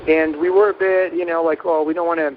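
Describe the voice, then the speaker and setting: A man's voice: on the high side, little pitch movement, fast talker, narrow, tinny audio, a little hiss or hum. A young man from Portugal, a band's guitarist and singer, talking loosely with lots of fillers in a phone interview. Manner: rambling, hesitant, many fillers.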